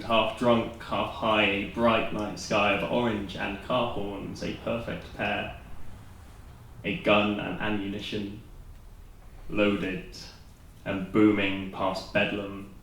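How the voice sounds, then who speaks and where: A Welsh man in his twenties reads his poems aloud at a slam, his voice lowish and heard from well back in a very echoey room. He slips in dry, self-deprecating jokes between pieces. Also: fairly bright tone, slight background noise. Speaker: spoken word poet. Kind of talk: poetry slam performance. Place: live performance venue. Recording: very echoey room recorded from a distance.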